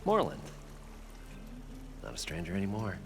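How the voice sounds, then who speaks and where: An actor playing an eager, chatty salvager in a video game cutscene. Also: male voice, deep voice, wildly swooping pitch, moderate pace, faint music behind, full character voice. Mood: friendly. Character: eager older male salvager, folksy and chatty.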